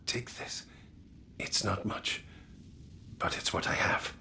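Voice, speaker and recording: An actor playing an earnest, solemn warrior retainer in a video game cutscene, with a gravelly, emphatic delivery. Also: male voice, slow, pushed and bright, slight background noise. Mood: grave, warning. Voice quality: gravelly, creaky.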